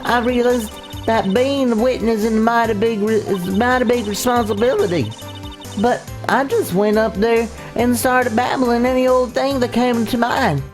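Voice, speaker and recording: A woman voicing a brash, folksy, scheming Southern photographer with a Southern US accent and a rambling, halting delivery in a voiced visual novel playthrough. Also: fairly high pitch, steady tone, close to an everyday voice. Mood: sheepish. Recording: faint game music behind. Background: video game music.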